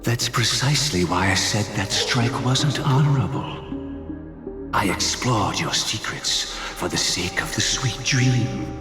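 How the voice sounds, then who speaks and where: An actor playing a solemn, ruthless schemer in a video game, speaking in grave, grandiloquent, formal phrases. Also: male voice, on the low side, lively intonation, a little slow. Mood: lofty, resolute. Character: solemn, ruthless older male schemer of lofty purpose. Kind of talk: theatrical video game voice acting. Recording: echoey, music underneath. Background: dramatic game music.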